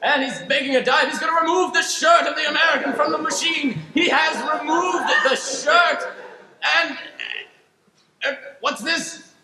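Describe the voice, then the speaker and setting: A man playing an excitable, mock-serious TV sports commentator, calling improvised play-by-play. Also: extremely high pitch, normal speed, voice pushed up, exaggerated voice. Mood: excited. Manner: emphatic, mock-serious announcing. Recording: roomy, noisy live stage recording.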